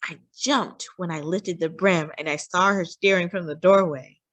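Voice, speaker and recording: A woman reading a children's picture book aloud, expressively and deliberately, with dramatic delivery. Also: fairly low pitch, steady tone, a bit bright, dry recording. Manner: deliberate and dramatic, acting out dialogue. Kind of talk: expressive scripted read-aloud narration.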